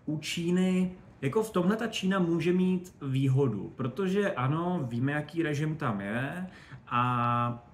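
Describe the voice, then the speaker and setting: A man giving animated, colloquial, digressive explanations as an expert guest in a casual interview. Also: fairly high pitch. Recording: roomy echo, faint background noise.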